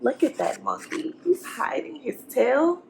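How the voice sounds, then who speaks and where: A woman's voice: high voice, animated, measured pace, vocal fry, a little mellow, slight background noise. A woman teacher reading a storybook aloud to young students, expressively, with simple encouraging questions and asides for the children.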